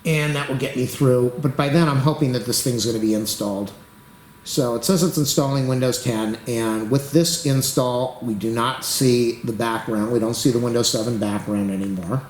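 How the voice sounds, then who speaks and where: A man hosting a casual computer tutorial, rambling as he waits, losing his voice and clearing his throat. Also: mid-range voice, a little mellow, echoey room, a little hiss or hum, low-quality recording. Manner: rambling, talks to the camera while waiting. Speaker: YouTube tech tutorial host. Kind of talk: rambling casual screen-recording commentary.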